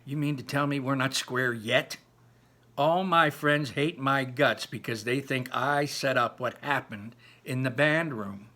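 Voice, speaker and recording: An indignant teenage boy from a storybook, acted out by a man reading aloud. He is defiant and sputtering, with a bright, forceful edge. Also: mid-range voice, measured pace. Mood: outraged disbelief.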